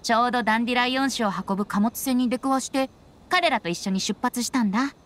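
A woman's voice: on the high side, quick pace, a bit bright, close to an everyday voice. A woman voicing a playful, carefree young male bard in an anime game, with breezy, teasing, theatrical delivery. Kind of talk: Japanese anime game voice acting. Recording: background music behind voice.

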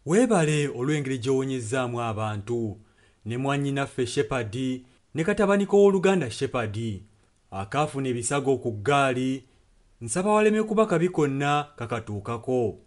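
A male interpreter delivers a sermon and prayer in short phrases. His voice sits at an average pitch for a man. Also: lively intonation, moderate pace.

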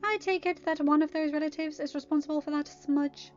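Woman doing a high, prim condescending young lady. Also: fairly even intonation, a little fast, natural voice. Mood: politely condescending. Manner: polite, pointed. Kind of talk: amateur story reading with dialogue. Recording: dull lo-fi audio, music behind.

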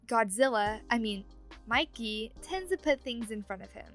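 A woman plays a young female ghost in an audio roleplay, teasing and chatty. Her voice sits fairly high, with faint music behind it. She comes across as a playful, meddling roommate. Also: lively intonation, normal speed, fairly bright tone. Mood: teasing, friendly. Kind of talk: ASMR audio roleplay acting.

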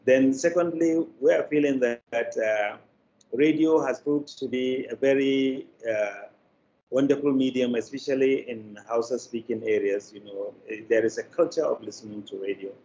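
A man's voice: medium pitch, steady tone, warm tone. Older Nigerian man, dull noisy call. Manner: deliberate, formal wording with frequent pauses. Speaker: interview guest, media producer. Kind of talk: measured interview answers. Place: plain indoor room, video call.

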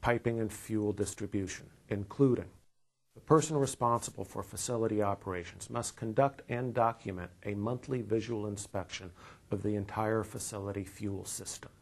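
An American man in his fifties with a fairly low voice narrates an instructional video. He explains things in a measured, orderly way, reading from a script as a presenter would. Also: fairly even intonation, creaky, darkish tone. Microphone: lapel mic.

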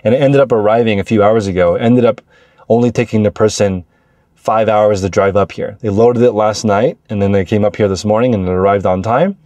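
A man's voice: on the low side, a little fast, mellow, dry recording. An American man in his forties talking casually as a vlog host, explaining things in a conversational, anecdotal way into a lapel mic in a car. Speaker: host, reseller vlogger. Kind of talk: casual explanatory monologue to camera. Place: inside a parked car. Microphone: lapel microphone clipped to shirt.

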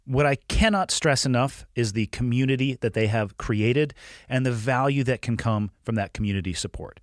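A man's voice: normal speed, no room sound, clean studio sound. A man with a head cold, sounding a little nasal, reading sponsor ads as a podcast host in an upbeat, emphatic way. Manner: upbeat, emphatic sales pitch. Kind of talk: ad read.

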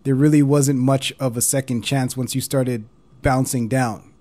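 A man's voice: mid-range voice, fairly even intonation, a little slow, dark tone. A man narrating a casual explainer as host, in an informal, conversational way. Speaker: host of a video series on analog recorders.

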